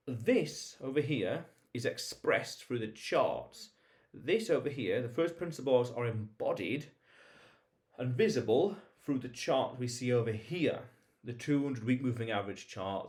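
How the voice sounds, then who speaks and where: Guy doing an informal vlog explainer, average pitch, emphatic, repeats himself and asks rhetorical questions. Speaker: vlog host. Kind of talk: informal explainer monologue to viewers.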